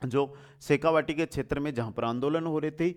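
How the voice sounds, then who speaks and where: A man's voice: fairly high pitch, fairly quick. A man teaching an exam lesson quiz-style, reading out questions and repeating key phrases emphatically for drilling.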